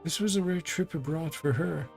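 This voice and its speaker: A woman's voice: deep voice, moderate pace, vocal fry, over music. A woman doing casual, rambling let's-play commentary as a game streamer, thinking aloud and reading dialogue.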